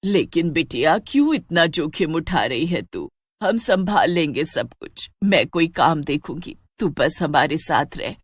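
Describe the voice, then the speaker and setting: Fretful old village mother, acted by a woman, lowish. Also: animated, a little slow, breathy edge, no echo, studio quality, full character voice. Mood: worried. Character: anxious, loving old village mother-in-law.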